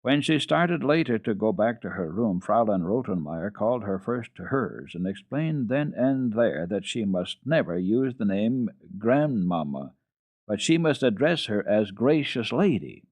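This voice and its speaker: A man narrating a classic children's story dramatically, in an expressive, measured storytelling manner. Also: on the low side, no room sound. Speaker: storyteller, children's radio program host.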